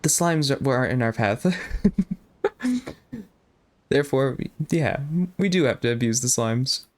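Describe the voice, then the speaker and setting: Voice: mid-range voice, big pitch swings, slow pace. A streamer giving easygoing, casual commentary while playing a game, musing aloud.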